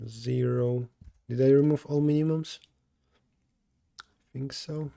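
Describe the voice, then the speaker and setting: Mellow-voiced Polish man thinking aloud. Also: medium pitch, fairly even intonation, slow, vocal fry. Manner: thinking aloud, self-correcting mid-sentence. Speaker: streamer solving coding problems. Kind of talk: live coding stream, answering chat.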